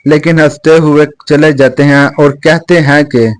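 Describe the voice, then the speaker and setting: Slow male storyteller, slightly high voice. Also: fairly even intonation. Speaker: storyteller, narrator. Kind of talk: scripted moral story narration.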